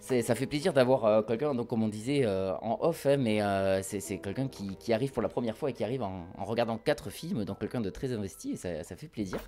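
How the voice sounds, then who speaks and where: Low, mellow-voiced male podcast host. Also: expressive, fairly quick, hardly any pauses, faint music behind. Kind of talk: casual film chat among friends.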